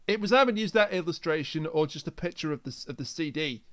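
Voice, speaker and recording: Male review co-host, high, clean voice, conversational delivery. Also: animated, fast talker, dry recording. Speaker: podcast co-host. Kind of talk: casual review chat.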